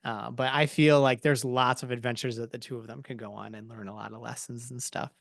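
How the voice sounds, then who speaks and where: Male author in podcast discussion, medium pitch, dry sound, agreeable, reflective, slightly hesitant delivery. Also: fairly even intonation, fairly quick, vocal fry, dry recording.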